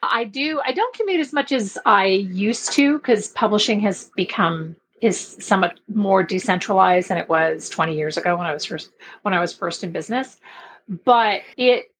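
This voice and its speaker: A middle-aged woman from Belgium chatting casually as an interview guest, her voice slightly husky. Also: medium pitch, lively intonation, moderate pace, a bit bright, a little hiss or hum, rough recording. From grew up in Belgium. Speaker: podcast guest, publishing expert.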